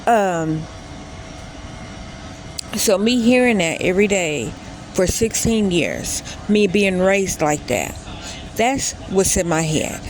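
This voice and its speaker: A woman's voice: medium pitch, animated, slow pace, long pauses, a little hiss or hum, rough recording. A woman in her late thirties telling a personal story in a casual vlog, rambling and often stopping to correct herself. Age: 30s. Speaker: vlogger.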